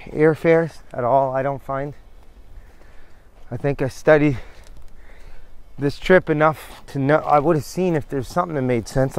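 A middle-aged man chatting casually while walking through windy woods, rambling and interrupting himself as he talks into a handheld camera. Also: fairly high pitch. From New Brunswick, Canada. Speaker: vlogger. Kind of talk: casual hiking vlog chat. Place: outdoors in windy coastal woods.

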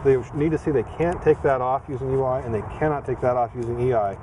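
Animated male logic teacher, distant mic. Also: medium pitch, quick pace, background noise. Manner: animated, emphatic explaining. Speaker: logic instructor. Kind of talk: explaining proofs in a casual teaching chat.

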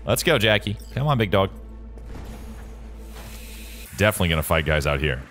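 An American man hosts a gameplay video with a low, animated voice. His commentary is casual, slangy and jokey, often addressed to the game itself. Game music plays clearly behind him. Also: normal speed. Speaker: gaming video host. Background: game music and vehicle sounds.

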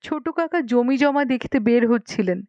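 Lively, high-voiced woman storyteller. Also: moderate pace, no room sound, muffled recording. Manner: dramatic storytelling with acted dialogue. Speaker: audiobook narrator. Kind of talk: expressive scripted story narration.

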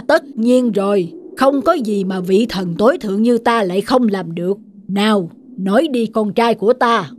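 A woman's voice: mid-range voice, normal speed, slight background noise, lightly acted. A woman voicing a proud, protective sun god father in a cartoon, speaking formally and solemnly. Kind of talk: dubbed mythological cartoon acting.

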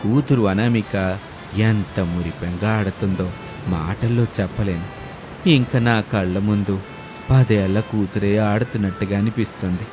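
Man playing tender village father over soft music. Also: lowish voice, steady tone, measured pace, voice pushed down, natural voice. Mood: fond, musing. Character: gentle, affectionate middle-aged village father. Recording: music bed behind voice.

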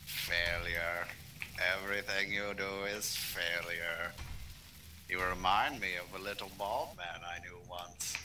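A man voicing a gloating alien tyrant, speaking with contemptuous, menacing deadpan. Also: low voice, slow, background noise.

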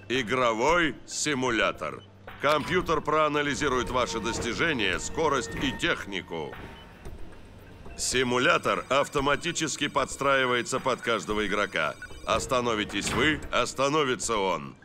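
An actor playing a commanding male football coach in an animated cartoon, speaking with measured authority. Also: mid-range voice.

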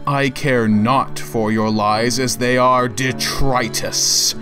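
A man giving a pompous, theatrical dramatic reading of a written rant, slowly and deliberately. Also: mid-range voice, steady tone, music in the background. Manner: pompous, slow, theatrical delivery.

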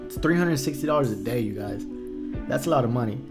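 A young man from Texas sits at a desk mic in a small room, walking "you guys" through haircut prices and yearly income in a relaxed, conversational tutorial. He talks the way he would to a friend and often stumbles over a word twice while he thinks. Faint music plays underneath. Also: medium pitch, fast. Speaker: barber teaching business. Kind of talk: casual screen-share tutorial. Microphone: desk microphone at a computer, webcam in corner.

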